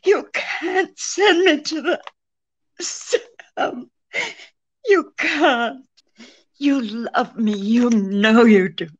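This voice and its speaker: Lady doing a desperate femme fatale, high voice, really drawn out and imploring, old radio melodrama. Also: extremely slow, long pauses, highs cut off. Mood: tearful, desperate. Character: pleading female murderess, seductive, desperate femme fatale. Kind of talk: 1940s radio melodrama acting.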